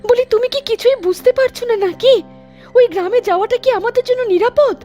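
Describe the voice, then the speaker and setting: A woman's voice: very high-pitched, fast talker, mellow, soft background music. A woman voicing an anxious, gossipy village wife in a cartoon, fretful and urgent. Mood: afraid. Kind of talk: cartoon voice acting.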